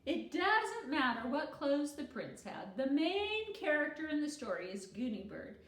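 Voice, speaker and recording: A woman voicing a self-assured young schoolgirl storyteller in an emphatic, deliberate, instructive way for a storybook. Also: high-pitched, echoey room, distant mic.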